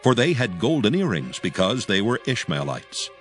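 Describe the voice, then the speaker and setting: Deep-voiced man solemnly narrating scripture over music. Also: sing-song, moderate pace, fairly bright tone. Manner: solemn, archaic storytelling delivery. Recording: music behind the voice. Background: clear background music.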